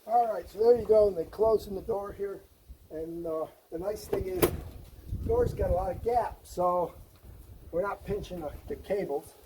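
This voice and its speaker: A man's voice: high-pitched, moderate pace, noisy background. A man hosting a casual hands-on how-to vlog, enthusiastic and folksy, talking while he works outdoors. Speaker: host of a DIY Jeep project channel. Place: outdoors beside a vehicle, windy.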